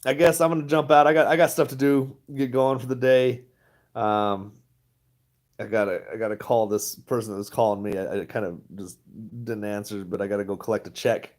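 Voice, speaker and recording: A man's voice: on the low side, steady tone, brisk, darkish tone. A man chatting casually to his viewers, rambling and laughing often. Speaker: stock vlogger. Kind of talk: casual livestream chat.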